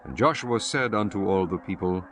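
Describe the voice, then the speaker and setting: A man's voice: low-pitched, moderate pace, fairly bright tone, a little hiss or hum. A man reading scripted narration for a dramatized audio Bible.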